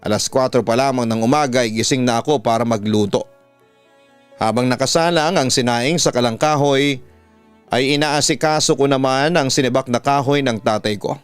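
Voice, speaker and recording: Smooth filipino guy narrating stories like a radio host, dry close mic, music under it. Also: mid-range voice, moderate pace, dry recording. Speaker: radio announcer and horror story host. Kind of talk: dramatic narration of listener letters.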